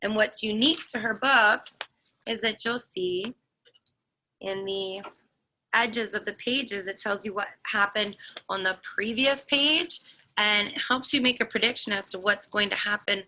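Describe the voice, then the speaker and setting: A woman's voice: mid-range voice, measured pace, bright, forceful tone, a little hiss or hum, poor audio. A woman preschool teacher leading a virtual morning class, explaining things to young children in simple steps. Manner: instructive, addressing a group of small children.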